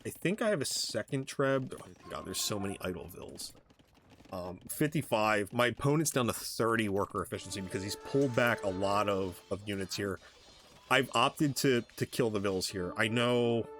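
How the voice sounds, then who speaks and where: A man giving casual, halting commentary on his own strategy game match, thinking aloud as he plays. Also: fairly low pitch, a bit bright. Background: background music.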